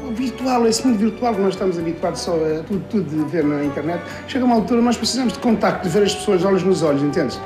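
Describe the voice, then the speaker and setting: A man's voice: high-pitched, lively intonation, fast talker, few pauses. Man interviewed in an echoey room. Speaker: venue manager being interviewed. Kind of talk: casual interview answers. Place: indoor shop area. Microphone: handheld reporter microphone. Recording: echoey room, music behind.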